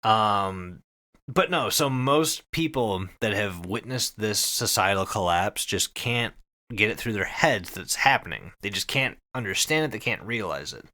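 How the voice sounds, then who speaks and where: A man's voice: on the low side, animated, unhurried, bright, forceful tone. A man hosting a podcast, summarizing a story casually with swearing, emphatic phrasing and wry asides. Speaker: podcast host summarizing an internet horror story.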